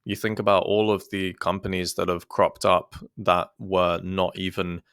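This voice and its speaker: A man's voice: deep voice, flat delivery, normal speed, a bit bright, clean tone, no room sound, studio quality. A man who is a guest on a podcast, talking in a thoughtful, hesitant way with many restarts. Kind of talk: casual podcast conversation.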